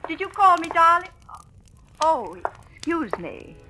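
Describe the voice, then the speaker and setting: A melodramatic young actress over music. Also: female voice, extremely high pitch, very animated, unhurried, exaggerated voice. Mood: composed, demure. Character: proud, emotional young actress, scheming and melodramatic. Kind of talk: 1940s radio acting. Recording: vintage radio drama with music behind.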